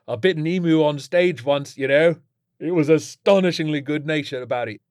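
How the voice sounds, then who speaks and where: Man as a cheeky, high-pitched carefree rocker. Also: normal speed, darkish tone, dry recording, studio quality, lightly acted. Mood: cheerful, reassuring. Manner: matey, teasing, crowing. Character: cheeky, carefree male rock musician, drug-pushing party animal.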